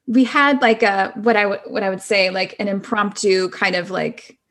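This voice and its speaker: A woman's voice: medium pitch, fairly even intonation, moderate pace, fairly bright tone. A middle-aged woman chatting casually as a podcast guest, telling personal stories with plenty of "like" and "you know". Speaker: podcast guest, actress and singer-songwriter.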